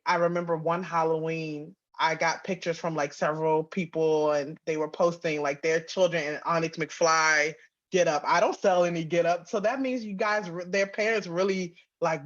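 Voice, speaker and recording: A woman's voice: low-pitched, lo-fi sound. A woman giving casual, candid interview answers as a guest author, chatty and emphatic. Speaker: guest, author and audio drama writer-producer.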